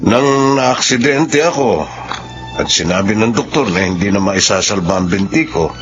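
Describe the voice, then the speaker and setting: An actor with a Filipino accent playing a friendly, teasing shop owner in a conversational radio drama scene. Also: male voice, lowish voice, expressive, moderate pace, fairly bright tone. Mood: reflective. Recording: dull audio, faint music.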